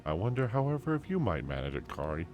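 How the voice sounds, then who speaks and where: A man's voice: sits low, big pitch swings, quick pace, over music. A man voicing a florid, wistful wandering bard in a fantasy game, with theatrical, archaic phrasing.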